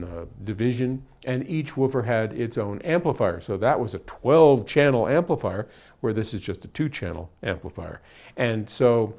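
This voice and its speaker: A man's voice: lowish voice, a little mellow. An older American man talking casually as a host answering viewer questions, explaining technical detail step by step in a conversational way.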